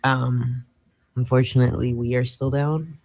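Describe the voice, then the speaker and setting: Young woman, very deep voice, soothing, calm co-host chat. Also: unhurried, gravelly. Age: young adult. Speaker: college radio co-host. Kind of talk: casual chat.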